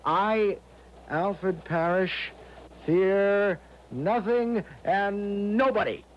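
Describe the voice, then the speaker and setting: A man's voice: sits high, very slow. An actor playing a timid, naive young man in a 1970s radio drama, hesitant and stammering with anxiety. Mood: timid, doubting. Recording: dull, noisy 1970s radio recording.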